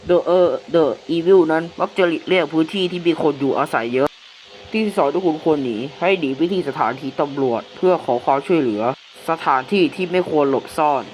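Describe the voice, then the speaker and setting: A man playing a solemn government announcer, formally reading a measured emergency warning for an analog horror broadcast. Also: fairly high pitch, close to an everyday voice. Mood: solemn, official. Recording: clearly noisy hissing background.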